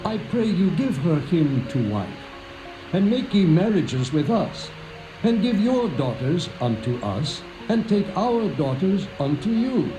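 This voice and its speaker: An actor playing a dignified older father and ruler of ancient times, speaking in a courtly, deliberate way in a scripture drama. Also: sits high, very animated, low-quality recording. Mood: courteous appeal. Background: music.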